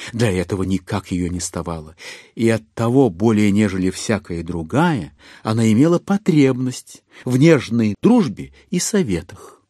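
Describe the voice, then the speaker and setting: An actor playing the wry literary narrator of a classic novel in an audio drama. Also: male voice, medium pitch, mellow, no room sound, studio quality, natural voice.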